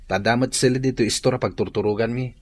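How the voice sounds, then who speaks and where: An actor playing a respectful young nephew in an Ilocano radio drama, polite and reassuring, with an Ilocano accent. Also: male voice, medium pitch, fairly even intonation, fast talker, slight background noise, lightly acted.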